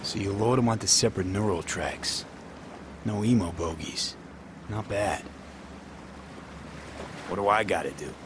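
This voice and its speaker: An actor playing a wry, cocky young street mercenary in a video game, talking in short slangy lines. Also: male voice, low voice, measured pace, long pauses, noisy background. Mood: curious. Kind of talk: cinematic game dialogue.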